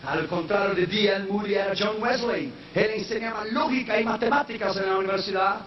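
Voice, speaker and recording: A man preaching a sermon in a language that is not his first, slipping in English phrases and rising into shouted, sung-out peaks. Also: high voice, fairly quick. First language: not Spanish. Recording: echoey, distant, dull sound with faint noise.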